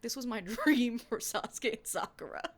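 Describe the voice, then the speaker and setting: A woman's voice: on the high side, normal speed, slightly rough. Giggly young woman reading her old story. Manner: hushed, half-whispered dramatic reading, breaking into laughter; giggly, self-mocking, animated commentary. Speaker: podcast reader. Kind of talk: reading aloud and commenting on her old fan fiction.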